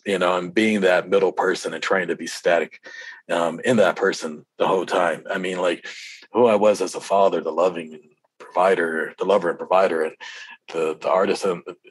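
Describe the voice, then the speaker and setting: Low, husky man talking reflectively. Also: fairly even intonation, no room sound, low-quality recording. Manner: halting, rambling. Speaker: musician being interviewed. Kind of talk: casual reflective interview talk.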